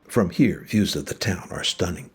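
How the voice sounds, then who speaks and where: A man narrating a travel show in a measured, even, scripted way. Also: low-pitched.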